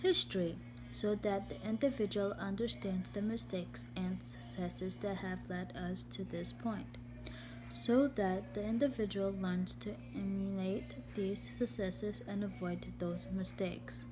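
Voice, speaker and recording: A woman reading a text aloud slowly and haltingly in a steady, even tone, often repeating words and restarting. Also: medium pitch. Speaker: vlogger. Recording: steady background hum.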